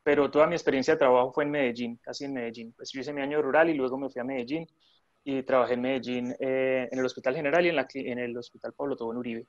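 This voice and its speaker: A man's voice: medium pitch, steady tone, fast talker, dry recording, highs cut off. A man from Colombia, a nurse in his thirties, chatting in a remote interview with many fillers and restarts.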